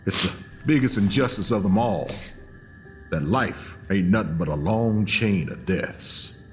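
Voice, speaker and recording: An actor playing a weary, resigned protector in an audio drama, consoling others in a gentle, measured manner. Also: male voice, low-pitched, animated, soft background music. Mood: sorrowful, resigned.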